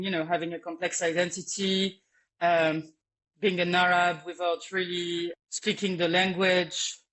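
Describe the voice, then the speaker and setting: A woman who grew up between Lebanon and France speaks as a guest on an interview podcast. She thinks aloud, pausing on fillers and restarting her sentences as she goes. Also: lowish voice, a bit bright, low-quality recording. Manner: thoughtful, hesitant, many fillers and restarts. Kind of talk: conversational interview.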